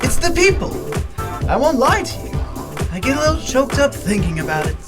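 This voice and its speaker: Psychopathic clown villain, gleeful, mock-affectionate, wildly animated pitch, radio drama, music behind. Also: male voice, a little fast. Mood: gleeful, mock-sentimental.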